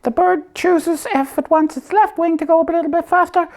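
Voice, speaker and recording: A tiny, very high puppet voice, belonging to a slipper who hosts a comedy show. It explains things in simple, halting, matter-of-fact phrases and is easily exasperated. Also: female voice, fairly even intonation, darkish tone. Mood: explaining. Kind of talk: comic puppet dialogue explaining a topic simply.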